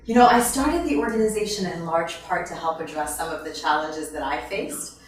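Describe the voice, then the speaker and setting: A woman with a low voice, born in Haiti, talks through her work in a measured, earnest way with the odd restart. She sounds set back from the microphone, and the room rings with strong echo. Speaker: nonprofit founder. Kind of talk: interview answers. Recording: roomy, from far off.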